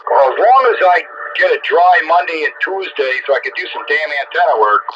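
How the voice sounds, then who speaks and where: A man's voice: a little high, lively intonation, brisk, dull audio. An American man hosting a casual on-air radio round-up, talking in a folksy, joking, colloquial way over a CB radio transmission. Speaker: amateur radio round-up host. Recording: buzzy, noisy AM two-way radio reception.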